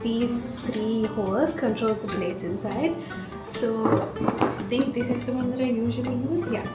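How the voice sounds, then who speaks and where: A woman's voice: on the high side, fairly even intonation, unhurried, loud music behind the voice. A woman from Sri Lanka giving a casual, informal food vlog narration, explaining things step by step. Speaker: food vlogger.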